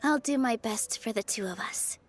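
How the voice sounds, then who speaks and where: A woman voicing a cheerful, earnest young female dancer in a video game, expressive and eager. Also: on the high side, moderate pace, soft background music, natural voice. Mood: storytelling, warm. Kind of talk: video game character acting.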